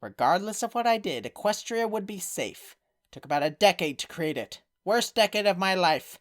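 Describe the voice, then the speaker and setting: A woman's voice: mid-range voice, expressive, normal speed, no room sound, clean studio sound, natural voice. A woman voicing a frank, wry older-sister pony, a former ruler, in a cartoon parody. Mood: confessional.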